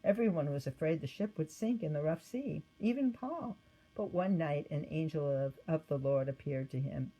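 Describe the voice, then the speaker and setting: A woman reading a scripted children's Bible story aloud in an expressive manner. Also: sits low, moderate pace, rough recording. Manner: expressive read-aloud with acted quotes. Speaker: storybook reader.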